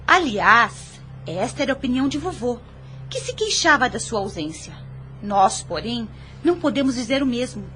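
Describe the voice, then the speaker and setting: A woman's voice: a little high, animated, normal speed, sharp tone, soft background music. A Brazilian woman playing a spirited, principled young niece in an audio drama, formal, firm and earnest. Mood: reproachful.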